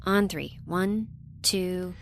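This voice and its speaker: A woman's voice: fairly low pitch, extremely slow, creaky, exaggerated voice. A woman in an audio drama playing a resourceful young intern, matter-of-fact and understated. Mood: practical. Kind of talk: naturalistic audio drama acting.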